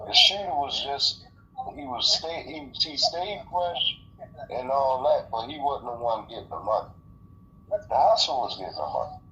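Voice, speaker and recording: A man's voice: very animated, normal speed, pushed and bright. A man in a casual, opinionated phone conversation, speaking emphatically in profane slang and repeating words to make his point. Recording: dull, noisy phone call audio with rumble.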